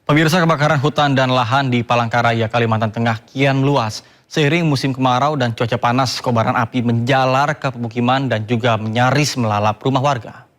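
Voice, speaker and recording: A man reading scripted news as an anchor in a formal, measured announcer delivery. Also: mid-range voice, steady tone, a bit bright.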